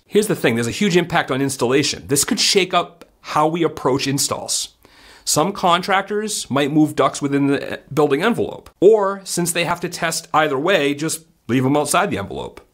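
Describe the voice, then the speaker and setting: A man's voice: on the high side, fairly bright tone. A man in his forties hosting a scripted explainer vlog to camera from a home studio, in a punchy, emphatic presenter style. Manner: upbeat, punchy, emphatic presenter delivery with hand gestures. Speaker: HVAC educator and channel host. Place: home studio room, indoors.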